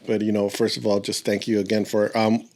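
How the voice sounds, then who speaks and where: A man's voice: fairly low pitch, level tone, brisk, a little mellow. A man, hosting a casual conversational interview in an informal way, swearing now and then. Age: 50s. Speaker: podcast host. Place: decorated home garage.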